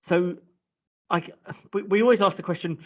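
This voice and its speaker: A man's voice: sits high, moderate pace, fairly bright tone. A man hosting a live online session, conversational and a little tentative, rephrasing his questions as he goes. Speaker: host of a live careers webinar. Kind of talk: conversational interviewing. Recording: dull lo-fi online call audio.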